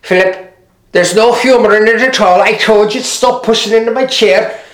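A storyteller voicing an elderly mother in a wheelchair, high-pitched and fussy. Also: animated, moderate pace, close to an everyday voice. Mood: indignant. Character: frail old Irish mother in a wheelchair. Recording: roomy echo.

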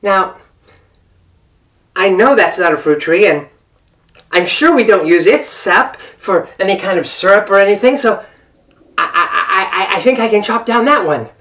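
A woman's voice: medium pitch, expressive, normal speed, long pauses, a bit bright, close to an everyday voice. A woman storyteller playing a timid, apologetic woodcutter who stammers and hesitates. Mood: musing. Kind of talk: live folktale storytelling.

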